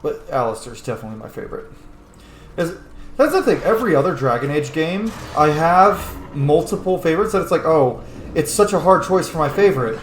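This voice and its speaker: A man's voice: fairly high pitch, animated, brisk, slight background noise. A man giving casual, sweary gameplay commentary over a video game. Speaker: gaming video host. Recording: roomy echo, game music behind. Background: video game music.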